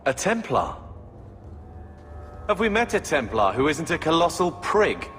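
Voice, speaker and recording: An actor playing a guarded, prickly young brother in a fantasy video game, with a blunt, skeptical manner. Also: male voice, a little high, sing-song, a little slow, a bit bright. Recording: long reverb, music behind. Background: music.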